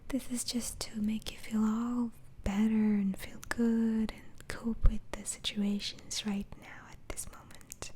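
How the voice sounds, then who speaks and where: A woman whispering softly in a slow, hesitant, soothing ASMR roleplay as a gentle, reassuring doctor. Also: fairly high pitch, little pitch movement. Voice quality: soft, breathy whisper.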